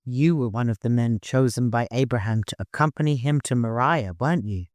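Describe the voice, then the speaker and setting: Male narrator as a shrewd commanding leader, mid-pitched and wry, for an audiobook adventure. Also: fairly quick, a little mellow, no room sound, close to an everyday voice. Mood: grave.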